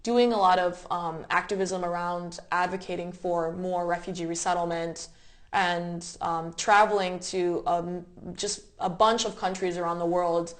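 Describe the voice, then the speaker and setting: Woman, twenties, from the United States, slightly lower voice, thoughtful, explanatory, personal storytelling, interview guest. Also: steady tone, a little slow. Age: 20s. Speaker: interview guest, refugee resettlement advocate. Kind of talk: reflective personal storytelling in conversation.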